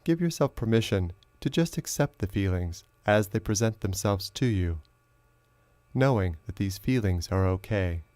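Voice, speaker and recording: A man narrating a guided meditation as a teacher, in a slow, measured, instructional way with long pauses. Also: fairly low pitch, animated, darkish tone, dry recording.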